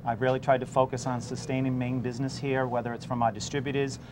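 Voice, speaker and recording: A man's voice: mid-range voice, level tone, fast. A man in his forties, a chef and owner, answering interview questions about his restaurant. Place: inside a restaurant bar, dining room and kitchen. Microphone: lapel mic. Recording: faint restaurant background noise.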